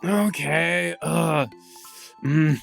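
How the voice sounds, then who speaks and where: A man's voice: on the high side, gravelly, fairly bright tone, faint music behind, pitched above the performer's own voice, full character voice. Man playing a stammering, naive young desert outsider. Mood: sheepish, halting. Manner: halting, stammering.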